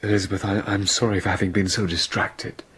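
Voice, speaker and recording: A man playing a troubled young gentleman scientist in a radio drama, speaking formally, earnest and hesitant. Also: fairly low pitch, level tone, brisk, slightly rough. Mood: uneasy, earnest. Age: young adult.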